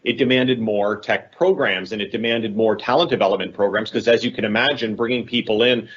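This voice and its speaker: A man's voice: on the low side, a little fast, fairly bright tone, slightly rough, dull audio. A man speaking as a corporate talent head on a panel, explaining his points reflectively and correcting himself as he goes. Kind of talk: conversational panel answer.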